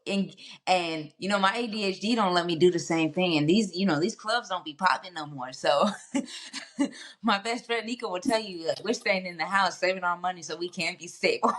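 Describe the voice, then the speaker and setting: A woman's voice: fairly low pitch, moderate pace, a bit bright. A woman hosting a casual panel conversation over a video call, chatty and loose with lots of fillers. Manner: chatty, many fillers and restarts. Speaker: podcast host.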